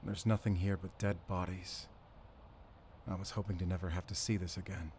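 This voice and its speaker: A man playing a weary, determined werewolf hunter in a cinematic audio roleplay, speaking pensively in short, halting phrases. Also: low-pitched, level tone, darkish tone, slight background noise, lightly acted. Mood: somber, weary. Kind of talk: cinematic audio roleplay acting, addressing a silent companion. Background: cinematic ambient sound effects.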